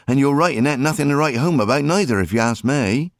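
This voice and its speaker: A man's voice: mid-range voice, animated, fast talker, dry recording, studio quality, pitched above the performer's own voice, natural voice. A man voicing a firm, fond country wife and mother in an audiobook, scolding in folksy, no-nonsense speech. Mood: brisk, fond. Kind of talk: audiobook character acting.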